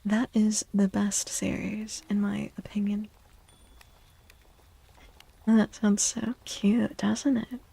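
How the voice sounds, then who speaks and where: Soft, breathy, half-whispering woman, close-up. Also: medium pitch, flat delivery, dry recording. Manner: gentle, unhurried, greeting chatters. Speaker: livestream host, VTuber. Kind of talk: soft-spoken ASMR livestream chat. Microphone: close microphone, dry.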